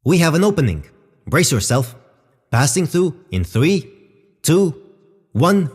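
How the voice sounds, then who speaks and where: Calm spaceship intelligence, exact wording, swooping intonation, reverberant, sci-fi audio drama. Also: male voice, fairly high pitch, unhurried, husky, full character voice. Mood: alert. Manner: formal, exact, literal. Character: calm precise male spaceship artificial intelligence.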